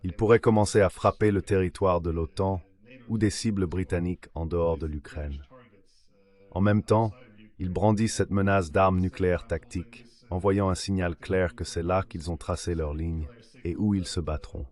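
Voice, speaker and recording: A man's synthetic voice reading dubbed analytical commentary as an interview guest, in a measured, explanatory way. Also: deep voice, fairly even intonation, darkish tone. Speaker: translated voice of an interview guest, an academic.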